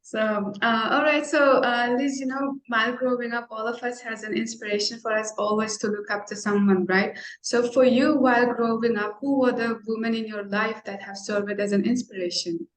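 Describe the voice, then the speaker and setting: A woman's voice: fairly high pitch, fairly even intonation, moderate pace, few pauses, roomy sound, poor audio. A woman hosting an interview, chatty, laughing often and filling with "you know". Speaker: host. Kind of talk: casual interview.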